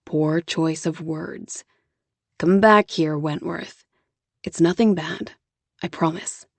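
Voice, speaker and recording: A woman voicing a tender, earnest young man in love, with a soft, low, hushed and coaxing delivery. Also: takes it slowly, no echo, full character voice. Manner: gentle and coaxing.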